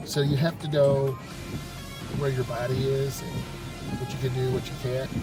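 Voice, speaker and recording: A man with a stuffed-up cold calmly explains his driving to viewers while he drives a car in slow traffic. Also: mid-range voice, distant mic. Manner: calm, unhurried, explanatory. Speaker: driving instructor. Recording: music loud behind the voice.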